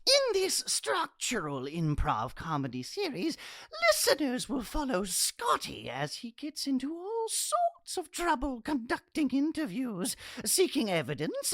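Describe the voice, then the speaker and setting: A man voicing a short, touchy, excitable prisoner in a comedic radio play, speaking like a pompous old-fashioned gentleman with stammering repeats. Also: very high-pitched, heavily acted.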